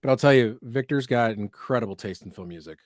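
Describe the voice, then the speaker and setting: A man's voice: medium pitch, dry recording. Rambling man, dry close voice. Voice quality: scratchy voice from allergies. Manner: rambling, quick asides. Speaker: podcast host. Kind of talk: casual livestream chat.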